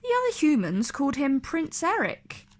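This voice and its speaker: A woman reading a storybook aloud for children in an expressive, deliberate, dramatic way. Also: high-pitched. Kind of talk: expressive read-aloud narration for kids.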